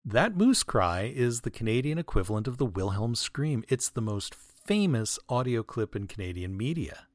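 A man with a very lively, swooping delivery and a mid-pitched voice hosts a comedy podcast. He reads scripted material with asides, mock-earnest and tongue-in-cheek, in a close, dry recording. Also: dry recording. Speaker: podcast host reading listener mail. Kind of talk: scripted comic narration with asides.

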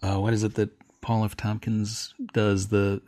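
A middle-aged man chatting casually on a panel, telling things in an enthusiastic, halting way. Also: on the low side, completely flat delivery, mellow, no echo, studio quality.

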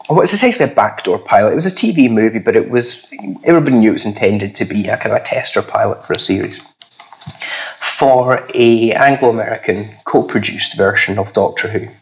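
A man with a husky, slightly hoarse voice, a podcast guest chatting casually, hesitant and full of fillers. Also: lively intonation, brisk, poor audio. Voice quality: husky, lower than usual. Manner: rambling, hesitant with many fillers. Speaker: podcast guest, comics critic.

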